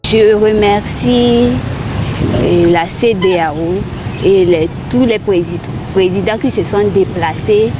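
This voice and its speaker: Slow, hesitant Togolese woman outdoors. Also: medium pitch, music in the background, poor audio. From Togo. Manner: hesitant, halting, repeats herself. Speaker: citizen giving an opinion. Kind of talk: spoken street statement. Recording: clear outdoor street and traffic noise.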